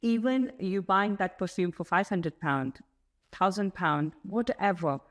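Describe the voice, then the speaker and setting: A woman's voice: mid-range voice, unhurried. A woman hosting a podcast, asking short questions and often affirming what her guest says. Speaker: podcast host. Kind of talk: interviewing a guest.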